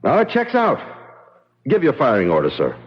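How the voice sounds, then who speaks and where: A man's voice: mid-range voice, huge pitch swings, normal speed, breathy edge. An actor playing a haunted, intense male weapons scientist in a 1970s radio drama, speaking emphatically and dramatically. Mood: guarded. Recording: muffled 1970s radio, faint music.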